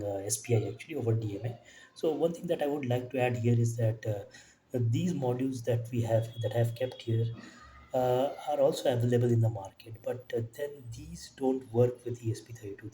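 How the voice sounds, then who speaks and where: A man giving an informal technical demo in a halting way, often tacking "actually" onto his phrases. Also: medium pitch, dark tone, slight background noise. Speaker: electronics hobbyist presenter. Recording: echoey room, distant mic, faint music.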